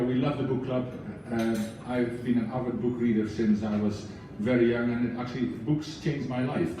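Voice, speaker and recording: A man on a book club's leadership team, speaking to an audience with a foreign accent in an earnest, passionate way, with many restarts. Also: medium pitch. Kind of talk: speech to an audience. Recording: echoey room, voice from far off.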